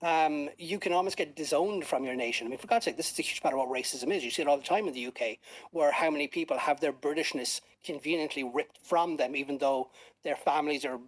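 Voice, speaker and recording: A man with a somewhat higher-pitched voice speaks in a casual political discussion. His delivery is earnest and conversational. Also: fast talker.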